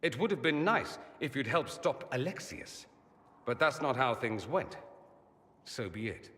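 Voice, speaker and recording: Theatrical, sardonic mage with lively swoops. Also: male voice, medium pitch, unhurried. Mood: charming, wry. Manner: theatrical, sardonic, flippant banter. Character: witty, vain male mage from a fantasy empire, sardonic. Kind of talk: video game character dialogue. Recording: long hall reverb.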